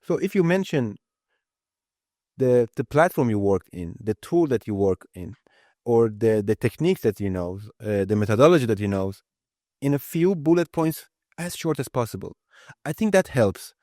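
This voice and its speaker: A man's voice: medium pitch, lively intonation, moderate pace, mellow, no room sound, studio quality. A man in his thirties whose first language is Persian, answering interview questions in a casual, earnest way and often restarting his phrases. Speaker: guest, IT lead.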